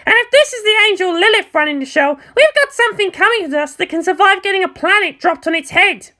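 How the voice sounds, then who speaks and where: A woman's voice: very high voice, expressive, fast, sharp tone, pitched above the performer's own voice, full character voice. A woman voicing a brash, fiery teenage girl mecha pilot in a story, blunt and sarcastic. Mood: grim, forceful. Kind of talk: acted story dialogue.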